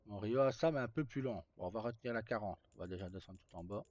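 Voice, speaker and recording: A man's voice: fairly low pitch, quick pace, darkish tone. A man giving casual live game commentary, muttering short remarks to himself as a streamer. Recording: muffled audio with faint background noise.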